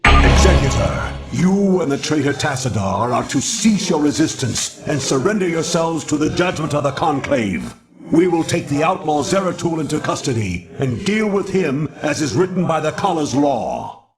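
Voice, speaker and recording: Pompous, wide-swinging haughty alien judge. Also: male voice, fairly high pitch, big pitch swings, normal speed, music in the background. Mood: imperious. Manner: pompous, declamatory. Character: stern zealous alien judicator, haughty, condemning, authoritarian.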